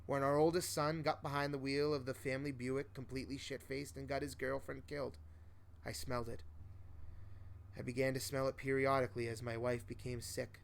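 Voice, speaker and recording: A man reading a first-person horror story aloud as host, in a solemn, measured, dramatic storytelling style. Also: medium pitch, little pitch movement, vocal fry. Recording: noticeable faint background noise.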